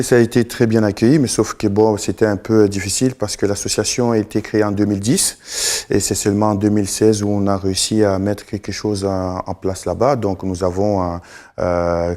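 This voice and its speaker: Casting: middle-aged studio guest, very low flat voice, halting with lots of fillers. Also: male voice. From Congo. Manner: hesitant, many fillers and restarts. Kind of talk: live TV interview.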